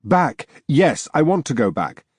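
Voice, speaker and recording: A man's voice: on the high side, very animated, unhurried, husky, no room sound, clean studio sound, pitched above the performer's own voice, exaggerated voice. A man voicing a bewildered, frightened ghost of a young murdered man, pleading to understand.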